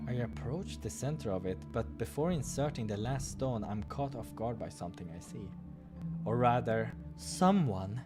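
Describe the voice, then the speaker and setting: A chatty let's play narrator with a mid-range, very expressive voice that moves freely between story reading and irreverent commentary. Music plays softly behind the voice. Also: mid-range voice, moderate pace. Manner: irreverent, swearing, chatty asides. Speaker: let's play host reading a visual novel aloud. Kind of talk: playthrough narration with casual reactions.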